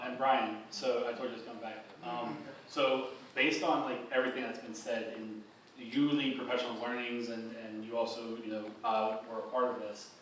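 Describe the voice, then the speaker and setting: A man's voice: medium pitch, a bit bright. A man moderating a panel in a friendly way, laughing between questions. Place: large echoey event hall. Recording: echoey hall, distant mic, faint noise.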